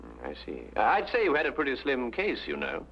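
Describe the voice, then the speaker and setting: Courteous inquisitive sleuth, mid-pitched, animated melody, musing, muffled old radio recording. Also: male voice, brisk, gravelly, slight background noise. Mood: sceptical. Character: cool, inquisitive gentleman detective. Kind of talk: old-time detective drama acting.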